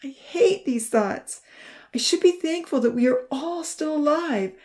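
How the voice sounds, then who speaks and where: Woman acting an anguished, breathy young man, high-strung. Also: animated, a little mellow. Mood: tearful, embarrassed. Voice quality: breathy, choked voice breaking into sobs. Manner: halting, pleading, confessional. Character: anguished young male burn survivor, guilt-ridden and humble.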